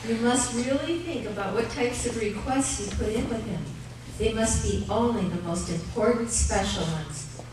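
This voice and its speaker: A gently firm parent played by a woman patiently gives advice in a radio drama. The voice is slightly high and sits far back in a very echoey, noisy theater. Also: normal speed, natural voice. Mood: patient, admonishing. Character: gently firm parent advising children about bedtime prayers. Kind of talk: old-time radio play acting, live on stage. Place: live stage in an arts center.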